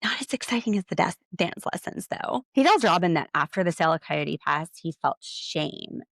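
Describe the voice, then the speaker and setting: Blunt woman with a gravelly low voice. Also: lively intonation, normal speed, fairly bright tone, dry recording, studio quality. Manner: opinionated, blunt, sarcastic asides. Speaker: podcast host. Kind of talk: solo TV recap commentary.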